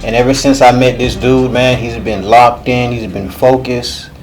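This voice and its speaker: A man's voice: medium pitch, steady tone, moderate pace. A man hosting a casual podcast in an ordinary mid-range voice. He talks in a laid-back, affirming way, with a little music faint in the background. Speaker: podcast host.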